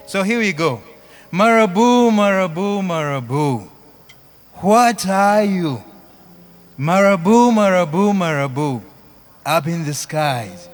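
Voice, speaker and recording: Male poet-singer, Ugandan accent, high-pitched and unhurried, chanting theatrically over live music. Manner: chanting, repetitive, theatrical delivery. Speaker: spoken word performer, singer. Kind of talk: live spoken word poetry with singing.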